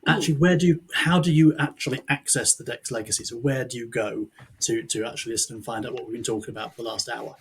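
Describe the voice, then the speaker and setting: A man's voice: medium pitch, steady tone, fast, a little hiss or hum. A man hosting a podcast in a casual interview style, speaking hesitantly but with enthusiasm. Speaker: podcast host.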